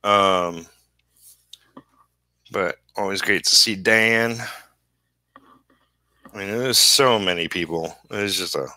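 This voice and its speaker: A man with a fairly low, sharp-edged voice talks in a dry, close sound. He rambles casually as if to a livestream while working, halting often and easygoing about his own shortcomings. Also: no room sound. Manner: halting, trailing off mid-thought. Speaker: comic book inker live streaming.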